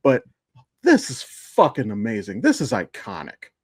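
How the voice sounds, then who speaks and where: A man's voice: mid-range voice, wildly swooping pitch, a little slow, breathy edge, no echo. Casual man podcasting in a dry close sound. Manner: informal, profane. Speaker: podcast co-host. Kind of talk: casual fan discussion.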